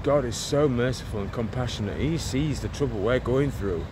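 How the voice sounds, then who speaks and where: A man's voice: medium pitch, moderate pace. Fervent man preaching while walking outdoors. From England. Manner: fervent, rambling, repeats words and restarts. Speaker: street preacher vlogger. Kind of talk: walk-and-talk prayer and preaching vlog. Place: residential street outdoors, walking. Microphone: phone held at arm's length. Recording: outdoor street noise with passing traffic.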